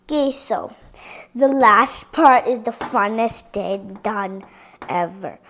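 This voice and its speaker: A fairly high female voice talking slowly through a dollhouse tour, chatty and direct with her viewers. She sometimes sinks into hushed whispers as she handles the pieces. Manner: chatty, drops to soft whispers at times. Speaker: toy reviewer. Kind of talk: casual toy tour for viewers.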